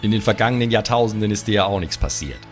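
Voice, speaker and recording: A man's voice: lowish voice, lively intonation, fast, soft background music, close to an everyday voice. A man playing Hansen, a folksy, teasing brother in an improvised tabletop role-play.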